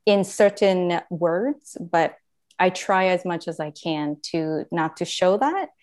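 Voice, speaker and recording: A woman's voice: on the low side, slow, a little mellow. A woman in her thirties from Japan, Japanese by first language, with a slight Japanese accent, telling her story in a casual interview, rambling with many fillers and self-corrections. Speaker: guest.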